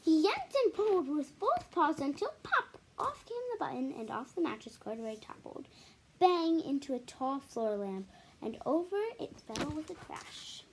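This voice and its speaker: Female picture-book narrator: high, lilting, expressive delivery, slight noise behind the voice. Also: normal speed, poor audio. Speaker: story reader. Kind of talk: expressive children's picture book read-aloud.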